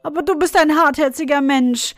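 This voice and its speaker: A woman's voice: normal speed, no room sound, studio quality, faint music behind, natural voice. A woman voicing a tender little girl who cries and pleads to protect her toy. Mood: tearful pleading. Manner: crying, high and imploring.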